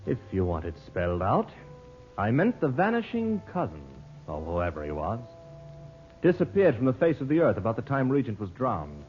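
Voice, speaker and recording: An actor playing an affable, apologetic gentleman reporter, courteous and easily flustered, in a 1940s radio drama. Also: mid-range voice, huge pitch swings, normal speed. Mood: awkward, chatty. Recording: dull old radio recording, faint music.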